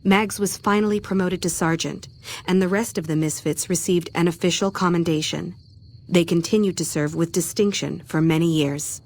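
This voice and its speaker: A woman playing a composed, measured narrator in a video game epilogue. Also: on the low side, steady tone, a little mellow. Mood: reflective.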